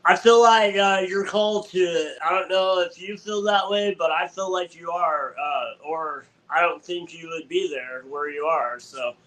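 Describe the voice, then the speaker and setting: A man's voice: high-pitched, unhurried, few pauses, fairly bright tone, rough recording. A young man hosting a podcast, asking questions in a friendly way with lots of fillers. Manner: friendly, hesitant with many fillers. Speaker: podcast host. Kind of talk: casual podcast interview.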